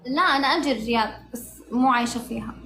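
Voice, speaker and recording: A woman's voice: a little high, racing, fairly bright tone, slight background noise. A woman from Saudi Arabia's Hejaz with a Hejazi accent, chatting casually and playfully on a livestream. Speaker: livestream guest.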